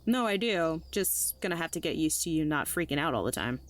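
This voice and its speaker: A woman's voice: deep voice, expressive, a little fast. An actress in an audio drama playing a blunt, sardonic woman with a casual, slangy way of talking. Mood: wry, skeptical. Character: Olivia, blunt, sardonic, slangy human friend.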